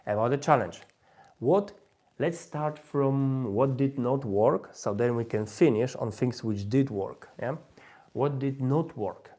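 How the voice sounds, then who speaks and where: Male livestream host, native Polish, Polish accent, medium pitch, informal and halting with frequent repeats. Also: a little mellow. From Poland. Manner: halting, repeats and rephrases phrases. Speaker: host, language learner giving advice. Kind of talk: informal livestream talk on language learning.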